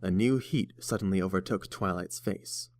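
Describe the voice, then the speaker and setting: A man's voice: low voice, moderate pace, a little mellow, no room sound, studio quality. A man narrating a story from a script as a storybook narrator.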